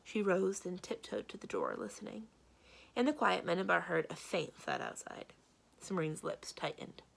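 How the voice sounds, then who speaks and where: Animated, slightly husky woman reading a story. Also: on the low side, moderate pace. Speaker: library storyteller reading aloud. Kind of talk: expressive children's read-aloud narration.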